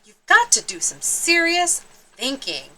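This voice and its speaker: A woman's voice: extremely high pitch, wildly swooping pitch, measured pace, sharp tone, pitched above the performer's own voice, full character voice, poor audio. A woman voicing a stern mother cat who lectures her kitten in a firm, emphatic manner.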